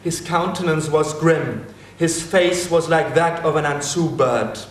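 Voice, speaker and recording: A man reading the part of a fierce, troubled wild hero in a dramatic reading, slow and measured. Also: fairly high pitch, slight background noise, natural voice. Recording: echoey room with faint ambience.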